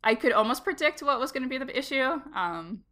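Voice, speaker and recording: A woman co-hosting a podcast while she has a cold, talking casually with vocal fry and laughing often. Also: high voice, expressive, brisk, bright, forceful tone. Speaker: podcast co-host. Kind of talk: casual chat.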